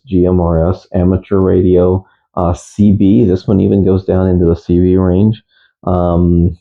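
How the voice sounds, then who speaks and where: Male hobbyist presenter, low-pitched, casual and unscripted, halting delivery, slightly dull lo-fi recording. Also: gravelly. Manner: halting, unscripted, matter-of-fact. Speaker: amateur radio hobbyist presenter. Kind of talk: casual gear review.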